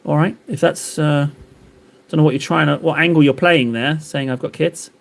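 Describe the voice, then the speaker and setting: A man's voice: fairly high pitch, steady tone, moderate pace, vocal fry. A man live-streaming while he paints, rambling and joking as he banters with his chat.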